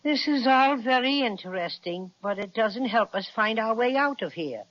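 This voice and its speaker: A man's voice: high-pitched, animated, normal speed. A man acting a small, blustery male cinnamon teddy bear in an old-fashioned children's radio serial. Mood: self-important. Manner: blustery, easily affronted. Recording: dull lo-fi old radio recording.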